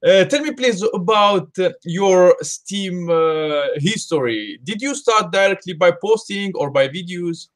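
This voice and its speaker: A man's voice: sits high, lively intonation, measured pace, hardly any pauses, fairly bright tone. Man asking simple interview questions.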